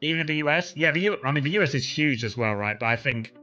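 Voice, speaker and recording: A man's voice: on the high side, lively intonation, fast talker, pushed and bright, highs cut off, soft background music. A young man from Kent with a southern English accent, chatting casually and rambling to his stream viewers, full of fillers and restarts. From England (Kent).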